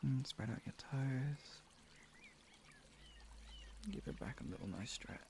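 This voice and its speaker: Deep, creaky, mellow-voiced man. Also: slow pace, slight background noise. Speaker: streamer, guided relaxation host. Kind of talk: casual chat moving into guided relaxation and hypnosis instruction.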